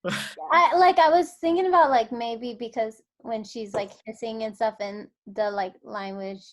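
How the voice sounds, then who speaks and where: A woman's voice: a little high, unhurried. A woman actor talking casually and hesitantly, with many filler "likes", in a video call discussion. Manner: hesitant, heavy use of "like". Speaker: actor in the cast. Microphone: video call microphone.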